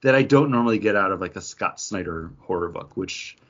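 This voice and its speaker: A man co-hosting a podcast, thinking aloud in a halting, casual chat about comic books. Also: low voice, expressive, a bit bright. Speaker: podcast co-host. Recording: dull lo-fi podcast audio.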